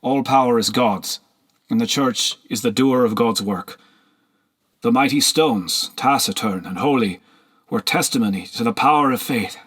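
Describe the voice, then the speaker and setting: A man narrating a historical novel as an audiobook in a measured, solemn storytelling manner. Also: mid-range voice, a bit bright, slightly rough.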